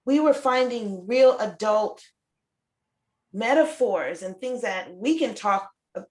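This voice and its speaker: A woman's voice: medium pitch, animated, takes it slowly. Effusive middle-aged woman hosting a chat. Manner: effusive, repeats phrases for emphasis. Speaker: show host and children's book author. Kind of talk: casual conversational interview chat.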